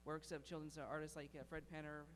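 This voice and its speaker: A man's voice: a little high, completely flat delivery, fast, a bit bright, slight background noise. A man from the audience asking a long, hesitant question full of fillers.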